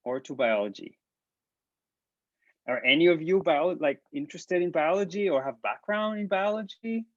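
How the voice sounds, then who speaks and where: Halting Israeli man on a video call. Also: on the high side, expressive, a bit bright, dry recording. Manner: halting, many restarts and ums. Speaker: presenter. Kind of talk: hesitant lecture talk. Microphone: laptop mic on video call. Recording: dull lo-fi video call audio.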